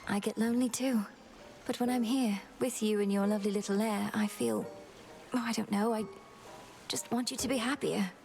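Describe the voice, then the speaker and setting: A woman's voice: on the high side, steady tone, normal speed, creaky, darkish tone, faint music behind. A woman voicing an earnest, determined young princess goddess in a video game, courteous, sincere and articulate. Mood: tender.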